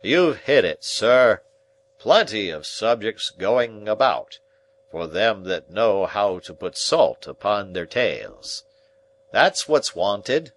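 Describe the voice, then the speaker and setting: A man voicing a pompous, blustering middle-aged man who lectures a boy in a loud, drawn-out, self-important way. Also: lowish voice, sing-song, full character voice.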